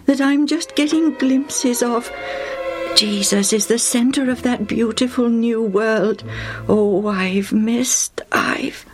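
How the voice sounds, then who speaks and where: A woman's voice: a little high, takes it slowly, over music, voice pushed up. A woman voicing a wistful male outsider in a storybook tale, sighing with longing. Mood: sad longing. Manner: sighing, yearning. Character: wistful outsider man, longing and slightly impatient.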